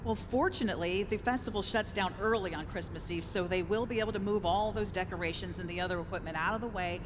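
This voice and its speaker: A woman's voice: mid-range voice, quick pace, natural voice. A woman playing a practical, upbeat, discreet event organizer in a live radio play, matter-of-fact in manner. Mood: businesslike. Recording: noisy live stage in echoing hall.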